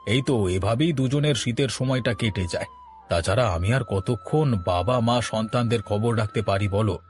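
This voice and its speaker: A man's voice: medium pitch, a little mellow, close to an everyday voice. A man voicing an honest, humble village cloth trader in a moral-story cartoon, with an earnest, homely delivery. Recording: background music under the voice.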